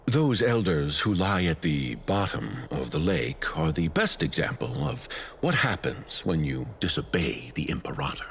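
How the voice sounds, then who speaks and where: Low, gravelly, menacing imperial loyalist man. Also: big pitch swings, unhurried, fairly bright tone, slight background noise, full character voice. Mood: smug menace. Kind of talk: video game voice acting. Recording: music behind the voice. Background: music.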